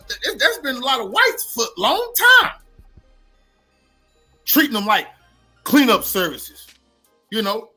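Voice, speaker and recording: Male livestream pundit: high, raspy voice, emphatic, repetitive, slangy delivery. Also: sharp tone. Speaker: livestream commentator. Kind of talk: opinionated live commentary.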